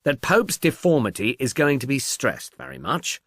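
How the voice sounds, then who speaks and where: A man's voice: mid-range voice, lively intonation, moderate pace, vocal fry, a bit bright, no echo, studio quality. Man playing a vague, donnish amateur sleuth. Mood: vague, offhand.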